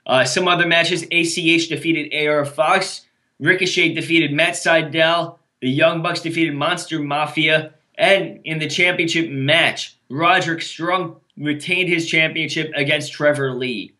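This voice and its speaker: A man's voice: on the high side, fairly even intonation, measured pace, bright, forceful tone. A young man co-hosting a casual wrestling podcast, chatty and self-mocking, running through results with frequent restarts. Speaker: podcast co-host.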